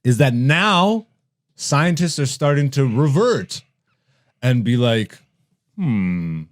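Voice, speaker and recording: A man's voice: mid-range voice, very animated, takes it slowly. A man hosting a comedy podcast, ranting casually and brashly with lots of swearing and laughing through his words. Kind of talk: casual comedic rant and chat.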